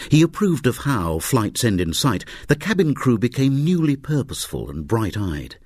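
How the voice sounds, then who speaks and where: A man narrating a scripted story as an audiobook reader, in a wry, dramatic and expressive way. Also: medium pitch, normal speed, dry recording.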